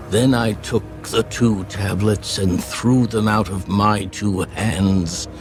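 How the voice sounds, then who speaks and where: A solemn elderly prophet in an audio Bible drama. His voice is deep and he speaks extremely slowly, weighing each phrase like a formal oration. Clear music plays behind him as he gravely reproves his people. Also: exaggerated voice. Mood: grieved, reproving. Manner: grave, deliberate, oratorical.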